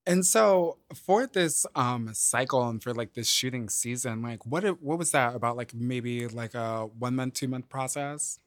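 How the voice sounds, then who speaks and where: Eager male interviewer, bright dry voice. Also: mid-range voice, a little slow, hardly any pauses, no echo. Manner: eager, reactive, laughs along. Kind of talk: casual interview.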